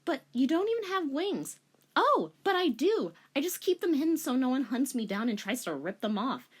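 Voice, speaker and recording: A woman's voice: sits high, sing-song, normal speed, pitched above the performer's own voice. A woman voicing a boastful, flirty female bending tutor in a gushing, dramatic manner. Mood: excited.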